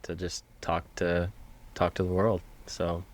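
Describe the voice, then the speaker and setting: A man's voice: sits low, level tone. A man from Canada, a teacher and podcast guest, talking casually with frequent restarts over a video call. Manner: halting, with many restarts. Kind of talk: casual conversation.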